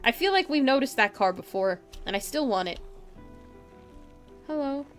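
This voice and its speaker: Higher-voiced woman, playful game streamer. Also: very animated, moderate pace, fairly bright tone, faint music behind. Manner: playful, talks to herself and chat. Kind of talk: casual live gameplay commentary.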